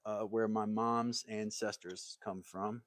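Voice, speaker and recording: A man's voice: lowish voice, flat delivery, slow, no echo, studio quality. Easygoing man chatting on a livestream. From United States. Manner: easygoing, rambling, reads out viewer comments. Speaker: livestream host, woodworker. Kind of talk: casual livestream chat. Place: his workshop.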